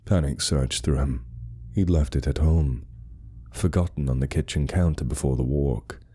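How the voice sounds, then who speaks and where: Male narrator for scripted horror: extremely low pitch, dark mellow tone, dramatic delivery. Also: moderate pace, husky.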